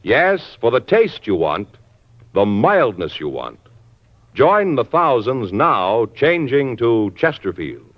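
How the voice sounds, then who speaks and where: A man's voice: mid-range voice, sing-song, slow. A man announcing a scripted commercial in 1950s radio style. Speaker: advertising announcer. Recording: dull vintage radio recording.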